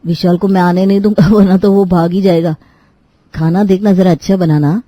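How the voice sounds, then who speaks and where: A woman's voice: lowish voice, steady tone, moderate pace, dull audio, natural voice. A woman voicing a picky, blunt young brother-in-law who complains, in a cartoon moral story. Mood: scornful.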